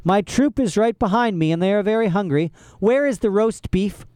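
A man's voice: high voice, lively intonation, moderate pace, a little mellow, clear, resonant tone, dry recording, studio quality, pitched above the performer's own voice. A man voicing a menacing, greedy villain with a scratchy voice in an audiobook. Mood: demanding.

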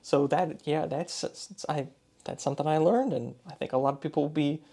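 A college-radio host talks with a guest in a relaxed interview. The host rambles through questions, leaning on "like" and "you know," curious and chatty. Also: mid-range voice, normal speed. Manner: curious, rambling, many likes and fillers.

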